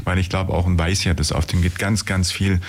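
A man's voice: low-pitched, steady tone, fairly quick. A man hosting a conversational radio interview, rambling a little and rephrasing his questions as he goes.

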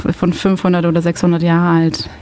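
A woman's voice: fairly low pitch, monotone, brisk, darkish tone, slight background noise. A woman from Belgium, German not her first language, hosting a casual radio interview from a studio in a chatty, hesitant way with many fillers. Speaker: radio host.

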